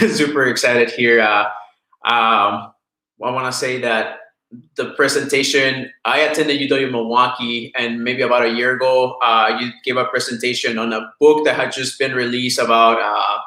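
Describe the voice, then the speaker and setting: A male host introducing a guest on an online talk show, speaking casually and haltingly with many fillers. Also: medium pitch, sharp tone, echoey room, poor audio.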